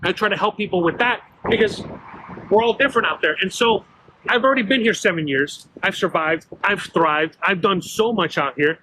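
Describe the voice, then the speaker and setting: A man's voice: sits high, moderate pace, pushed and bright, a little hiss or hum, poor audio. A middle-aged man from Miami telling his story in a casual walk-and-talk interview, full of fillers and restarts, recorded outdoors on a city street. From Miami, Florida. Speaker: YouTuber guest.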